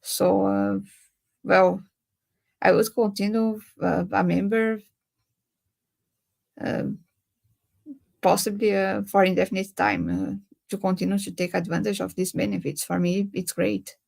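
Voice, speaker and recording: A young woman from Brazil speaks in English with a Brazilian Portuguese accent on a webinar panel. Her voice carries a strong vocal fry, and she keeps stopping to search for words. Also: medium pitch, little pitch movement, measured pace, long pauses, darkish tone. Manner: hesitant, many filler sounds. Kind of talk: webinar talk.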